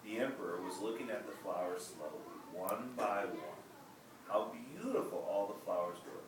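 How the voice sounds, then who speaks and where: A man's voice: on the low side, lively intonation, moderate pace. Man reading a story in an echoey room. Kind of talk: reading a children's story aloud. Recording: echoey room, distant mic, noisy.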